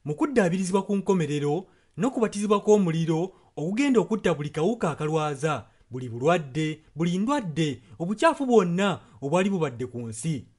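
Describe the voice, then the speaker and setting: Ugandan male sermon interpreter, slightly high voice, translating in short passages. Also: sing-song, fast, hardly any pauses, a little mellow.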